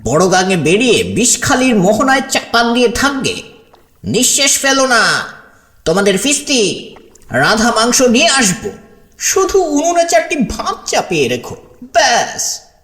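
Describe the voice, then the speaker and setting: A man voicing a brash, restless hunter in an audio storybook, loud, breezy and bossy. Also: very high-pitched, wildly swooping pitch, measured pace, pitched above the performer's own voice, full character voice. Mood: commanding, breezy. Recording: roomy echo, music underneath.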